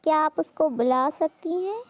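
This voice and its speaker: A woman voicing a cheeky young female friend who gossips, in a soft, very high put-on voice. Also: expressive, moderate pace, no room sound. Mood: gossipy.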